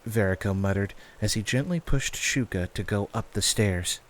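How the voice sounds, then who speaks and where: A man's voice: lowish voice, moderate pace, a little hiss or hum. A man working as narrator, reading a scripted fantasy story. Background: background music.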